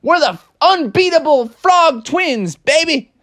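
A woman's voice: sits high, expressive, slow pace, pitched above the performer's own voice, full character voice. Woman doing Froggy, a cocky frog. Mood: boastful. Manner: brash, shouting, laughing boasts. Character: cocky boastful male frog pitcher.

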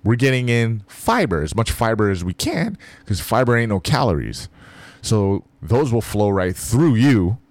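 A man hosting a podcast, talking loosely and jokingly to the listener, explaining fasting in a casual monologue. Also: on the low side, big pitch swings, dry recording. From Philippines.

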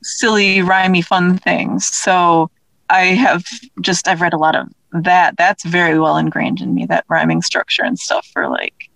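A woman's voice: on the low side, little pitch movement, measured pace, creaky, a bit bright, no room sound, rough recording. A woman speaking casually as an interview guest, chatty and self-deprecating as she talks about her own work as an author. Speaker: interview guest, author and audio drama creator.

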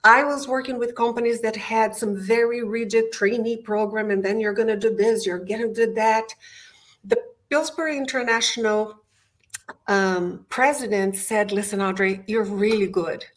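A woman's voice: fairly high pitch, fairly even intonation, moderate pace. Brazilian woman telling her career story. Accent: Brazilian Portuguese. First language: Portuguese. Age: middle-aged. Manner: enthusiastic storyteller. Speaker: guest. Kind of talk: interview conversation. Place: home office.

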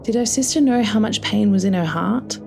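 A woman's voice: medium pitch, fairly quick, creaky, music in the background. A woman reading a scripted story as its narrator.

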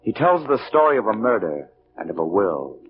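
A man's voice: lowish voice, sing-song, normal speed, vocal fry, slight background noise. A man narrating a 1940s radio drama in solemn style, on a muffled old recording. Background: prominent music.

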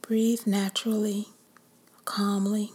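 Woman meditation guide, breathy whisper, very slow and calm. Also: mid-range voice.

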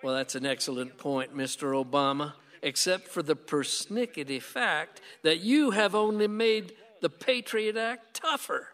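An actor in a radio play voicing a stubborn, sardonic conservative gun owner, combative and folksy with a dry delivery. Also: male voice, fairly high pitch, unhurried, hardly any pauses, fairly bright tone. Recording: long hall-like reverb.

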